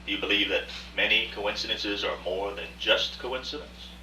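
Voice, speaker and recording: A man acts out an aging, moneyed art dealer in a radio play. He speaks with courtly, deliberate formality in a lowish, very bright and forceful voice. It is picked up from a distance in a noticeably echoey room. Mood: probing, courtly. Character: genteel, aging wealthy art dealer, reflective and defensive. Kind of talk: radio play acting, rehearsed dialogue. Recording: distant, echoey room with faint noise and hum.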